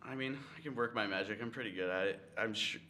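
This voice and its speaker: A man's voice: on the low side, fast talker, bright, forceful tone, slight background noise. A man, a guest on a radio show, talking in a loose, laid-back way. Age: 30s. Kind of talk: casual on-air interview.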